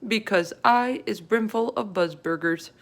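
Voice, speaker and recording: A woman voicing a gentle, emotional storybook giant who talks in jumbled grammar and silly invented words. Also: medium pitch, a little slow, natural voice. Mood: secretive. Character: gentle, tearful, kindly giant with jumbled childlike speech.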